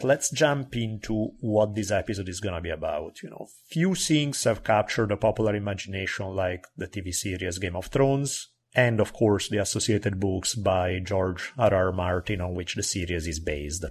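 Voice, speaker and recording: Italian male podcast host, lowish voice, chatty and expressive. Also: moderate pace, few pauses. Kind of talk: casual podcast chat.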